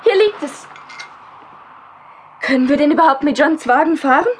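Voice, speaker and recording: A woman's voice: high voice, moderate pace, slight background noise, close to an everyday voice. Woman playing a shaken young woman. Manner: anxious, shaken. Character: frightened young woman just rescued.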